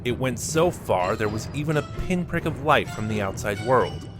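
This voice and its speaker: A man narrating a fan-made audio drama in a steady storytelling manner. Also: medium pitch, moderate pace. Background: music.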